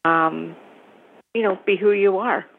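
A woman's voice: mid-range voice, unhurried, creaky, slight background noise. A woman in a book club sharing an earnest, reflective view. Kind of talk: casual group chat. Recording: tinny phone-like line.

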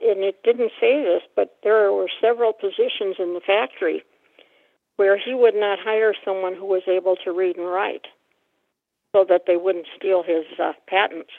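Muffled, halting woman in discussion. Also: mid-range voice, steady tone, no room sound. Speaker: book club participant. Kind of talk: casual group discussion. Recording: dull, lo-fi call audio.

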